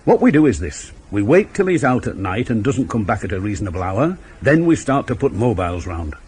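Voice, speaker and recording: An actor playing a shrewd, dogged police inspector in a radio crime drama, with a terse, probing, ruminative manner. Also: male voice, fairly low pitch, sing-song, a little fast, a little mellow, a little hiss or hum. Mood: brooding, decisive.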